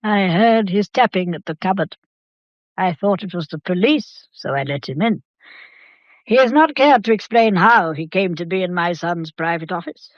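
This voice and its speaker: A woman's voice: fairly low pitch, expressive, no room sound, dull audio, close to an everyday voice. Woman playing an imperious old English matriarch, dully recorded. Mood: firm, composed. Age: older. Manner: formal, deliberate, commanding. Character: imperious, inquisitive old matriarch, stubborn and shrewd. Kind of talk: audio drama acting.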